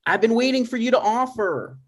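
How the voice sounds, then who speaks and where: A man's voice: extremely high pitch, fairly quick, breathy edge. A man playing a neurotic, touchy son in his thirties, argumentative and dry, in a scripted comedy table read. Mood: agitated. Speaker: writer and actor in a table read.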